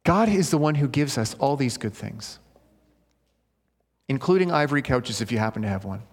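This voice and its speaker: A man's voice: medium pitch, animated, moderate pace, husky. A male pastor preaching a sermon, reading scripture and then explaining it in an earnest, conversational way. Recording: long hall reverb.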